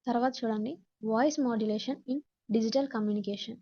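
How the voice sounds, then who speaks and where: Female Telugu-accented instructor, lightly high and very relaxed tone, point-by-point lesson delivery with repeated explanation. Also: slow pace, muffled recording. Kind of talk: slide-based lesson, explaining in Telugu and English.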